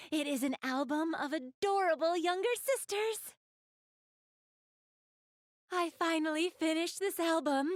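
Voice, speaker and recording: A woman's voice: high-pitched, no room sound, studio quality. A woman playing an elegant, doting big-sister goddess in a video game, speaking in polite, formal, refined phrases straight to the listener. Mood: gushing, delighted. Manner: polite, formal, refined diction, gushing over what she adores. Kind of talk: video game character acting, addressing the listener.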